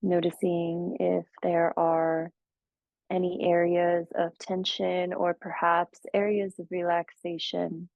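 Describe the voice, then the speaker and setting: A woman guiding a meditation, speaking slowly and gently with soothing pauses. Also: on the low side, level tone, gravelly, dry recording. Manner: slow, gentle, soothing guidance with long pauses. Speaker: meditation teacher. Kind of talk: guided meditation. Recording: dull, muffled audio.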